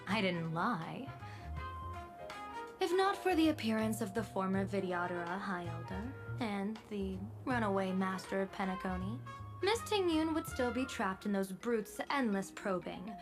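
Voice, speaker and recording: Actress as serene female general, game character, knowing, playful, formal, mid-range voice, clear music underneath. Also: mid-range voice, animated, a little slow, natural voice. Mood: calm, knowing. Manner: poised, teasing, formal. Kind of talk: dramatic video game voice acting. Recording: music behind the voice.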